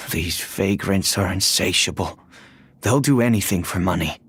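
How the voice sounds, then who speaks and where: A man's voice: low voice, measured pace, bright, forceful tone, a little hiss or hum, exaggerated voice. An actor playing a weary, cautious village father in a video game, mild and hesitant.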